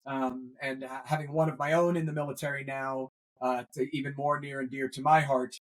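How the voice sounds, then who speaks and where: A middle-aged man, a grandfather, speaking earnestly as an interview guest, pausing often with fillers. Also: mid-range voice, little pitch movement, rough recording. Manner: earnest, hesitant with many fillers. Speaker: guest, veteran educator. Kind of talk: conversational interview.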